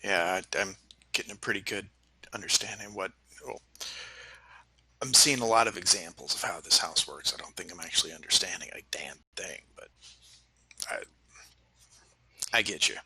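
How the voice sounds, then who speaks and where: A man's voice: deep voice, normal speed, vocal fry, pauses often, sharp tone, slightly rough, low-quality recording. A man playing a tabletop game, speaking casually in character.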